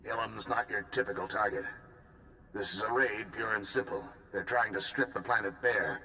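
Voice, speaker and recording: A man's voice: on the low side, normal speed, bright, forceful tone, a little hiss or hum. An actor playing a male supreme commander giving a formal, urgent mission briefing in a video game cutscene. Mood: earnest. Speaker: military commander.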